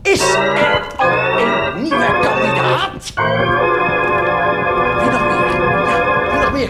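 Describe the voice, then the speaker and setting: A wheedling salesman monk in a radio play, super high voice, swoops around, theatrical. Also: exaggerated voice, rough recording. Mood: hawking. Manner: theatrical, cajoling sales patter, punctuated with laughs. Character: wheedling monk huckster selling indulgences to peasants. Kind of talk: radio drama acting.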